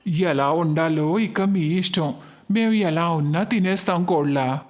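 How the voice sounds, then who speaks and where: A man's voice: a little high, measured pace, a little mellow, lightly acted. A voice actor playing an easygoing, food-loving middle-aged father-in-law in a cartoon. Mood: hearty. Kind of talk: cartoon voice acting.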